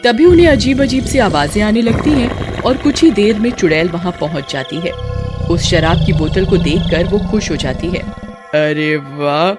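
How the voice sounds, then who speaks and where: A woman's voice: mid-range voice, moderate pace, music in the background. A woman narrator telling a scripted children's cartoon story.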